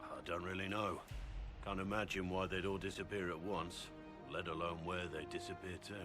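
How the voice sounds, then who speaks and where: An actor playing a gruff, earnest middle-aged warden in a video game, speaking in a measured, plain-spoken way. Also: male voice, low-pitched, level tone, close to an everyday voice. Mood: guarded, earnest. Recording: game music behind the voice.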